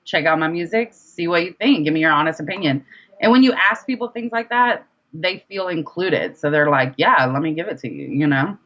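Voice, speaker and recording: A bright, mid-pitched voice with a slightly dull recording. The speaker is an independent rapper answering as an interview guest, loose and chatty, often doubling back on a phrase to stress it. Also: expressive, fairly quick. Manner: chatty, informal, often repeats phrases for emphasis. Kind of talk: casual conversational interview.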